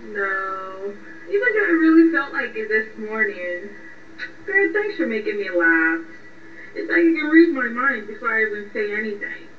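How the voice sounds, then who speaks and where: A woman's voice: on the high side, big pitch swings, moderate pace. A woman playing a lovesick, vulnerable young woman in a radio drama, hesitant, defensive and pleading. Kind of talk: radio drama phone conversation. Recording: muffled, echoey, distant, faint noise.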